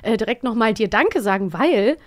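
A woman's voice: on the high side, animated, moderate pace, dry recording, studio quality. A woman co-hosting a podcast in an upbeat, enthusiastic casual chat. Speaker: podcast co-host.

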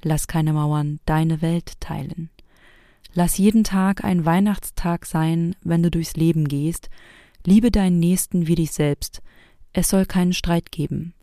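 A woman's voice: lowish voice, fairly even intonation, unhurried, dark tone, dry recording, clean studio sound. A woman hosting her own audio piece in scripted narration. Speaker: host and maker of a private Christmas audio piece.

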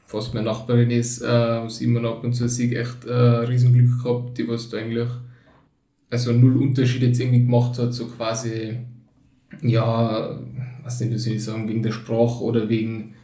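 A man's voice: medium pitch, completely flat delivery, moderate pace, mellow. A man in his twenties, born in Turkey and raised in Tyrol, speaking with a Tyrolean accent as a podcast guest. He talks reflectively and hesitantly, with many fillers. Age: 20s. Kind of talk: casual conversation. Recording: roomy echo, somewhat distant from the mic.

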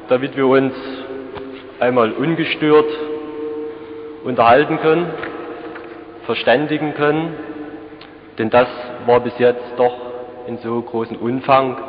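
A man in his twenties, a student, moderating a citizens' assembly with halting, hesitant speech. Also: mid-range voice, background noise. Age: 20s. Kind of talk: public address at a citizens' assembly. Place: large church hall. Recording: echoey church hall, dull 1989 tape.

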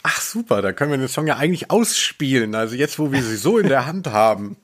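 A man's voice: mid-range voice, very animated, quick pace. Male podcast co-host, mid-range voice, casual, jokey, loosely rambling chat.

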